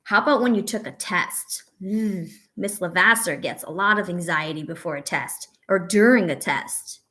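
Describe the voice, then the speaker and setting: A woman reading a picture book aloud to young children as a teacher, pausing to ask questions and offer encouragement and reassurance. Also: fairly low pitch, pushed and bright. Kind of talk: read-aloud story with asides to young children.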